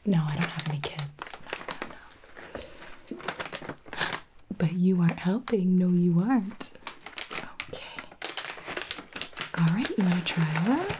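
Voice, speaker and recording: A woman doing an ASMR roleplay as a baby photographer, whispering softly and cooing as if to a baby. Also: on the low side, expressive, a little slow, long pauses, background noise.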